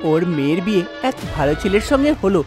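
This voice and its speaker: A woman's voice: lowish voice, big pitch swings, fast talker, music in the background. A woman narrating a scripted cartoon folk story as its storyteller.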